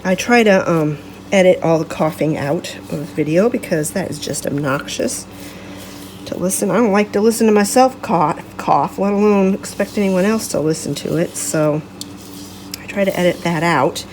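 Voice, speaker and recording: A middle-aged woman hosting a casual home vlog, chatting in a rambling, self-correcting way, her voice congested and gravelly from a cold. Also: fairly low pitch, normal speed, a little mellow, a little hiss or hum, low-quality recording. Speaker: vlog host.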